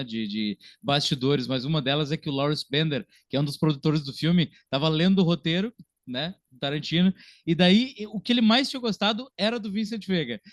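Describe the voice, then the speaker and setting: A man with a Brazilian accent hosting a casual film podcast in an animated, jokey manner. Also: a little high, no room sound, studio quality.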